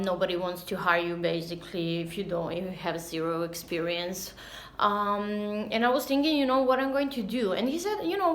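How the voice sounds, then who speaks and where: A woman's voice: medium pitch, slight background noise. A woman in her thirties, a native Serbian speaker with a Serbian accent, chats casually and somewhat hesitantly to camera as a language teacher, recorded on a phone at arm's length. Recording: echoey, roomy sound.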